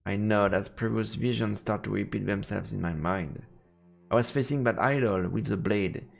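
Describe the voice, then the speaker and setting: A man streaming a visual novel, reading the text aloud as measured, dramatic narration. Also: fairly low pitch, creaky, faint music behind.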